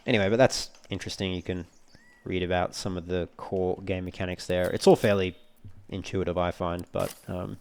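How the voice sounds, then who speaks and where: Male let's player, low-pitched, talking casually through a game and reasoning aloud as he goes. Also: little pitch movement, moderate pace, creaky, hardly any pauses, a little mellow, clear, resonant tone. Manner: thinks aloud while reading menus. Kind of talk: casual gameplay walkthrough commentary.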